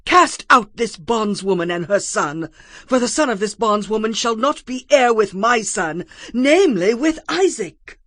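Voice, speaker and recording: A woman's voice: fairly high pitch, animated, a little slow, a bit bright, no room sound, clean studio sound. A woman playing an elderly, emotional biblical mother in a formal, dramatic scripture production. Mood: stern, demanding.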